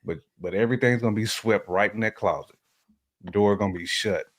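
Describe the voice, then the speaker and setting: A man with a fairly low voice co-hosts a casual conversation. His phrasing is colloquial and full of slang. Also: fairly quick. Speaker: co-host. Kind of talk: casual chat.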